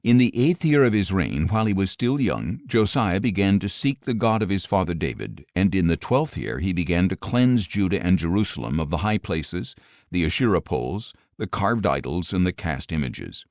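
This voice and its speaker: A man narrating scripture in a measured, solemn, clearly phrased scripted reading, recorded close in a studio. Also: sits low, very animated, a little mellow, dry recording.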